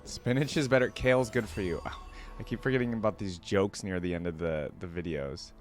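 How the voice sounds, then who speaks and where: A man's voice: lowish voice, fairly even intonation, brisk, soft background music. A man hosting a reaction video, commenting casually and off the cuff with short, admiring, informal remarks.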